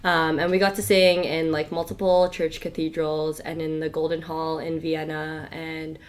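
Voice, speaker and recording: A woman's voice: low-pitched, little pitch movement, moderate pace. A young woman chatting as a podcast guest, laughing often and filling her talk with "like". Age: young adult. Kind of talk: casual chat.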